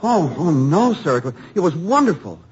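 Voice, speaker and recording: A man's voice: fairly high pitch, big pitch swings, moderate pace, a little mellow, slightly rough, exaggerated voice. An actor playing an eager, humble young male author in a 1950s radio drama, earnestly stammering as he speaks. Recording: dull lo-fi old radio broadcast.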